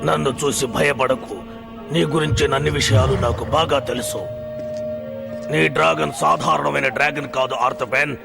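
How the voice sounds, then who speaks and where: A man playing a calm, mysterious, all-knowing stranger in a dubbed cartoon, speaking slowly and deliberately in a gravelly voice. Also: a little high. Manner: slow, deliberate. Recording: loud music behind the voice.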